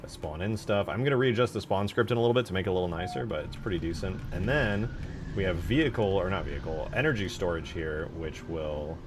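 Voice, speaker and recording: A man's voice: low-pitched, quick pace, background noise. A man giving casual live stream commentary as he works on a game mod, thinking aloud in an informal, self-effacing way. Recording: music playing behind the voice. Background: music.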